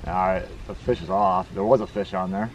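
A man's voice: low voice, fairly even intonation, fairly quick, a little hiss or hum, rough recording. A man fishing from a boat with family, talking casually and laughing, a little off mic. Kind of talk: casual chat. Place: on a boat on a lake, outdoors.

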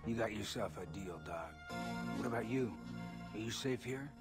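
A man playing a gruff, deadpan cyborg army sergeant in an action video game. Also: fairly low pitch, normal speed, natural voice. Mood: gruff, resolute. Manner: terse, deadpan, tough-guy. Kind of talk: 1980s action-hero game acting. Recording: loud music behind.

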